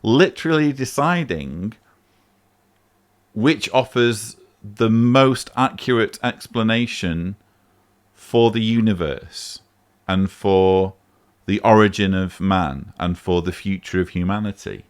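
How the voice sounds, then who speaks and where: A man's voice: on the low side, takes it slowly. A man hosting a vlog, answering a voicemail in emphatic, argumentative casual commentary. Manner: emphatic, argumentative, rising sharply on key points.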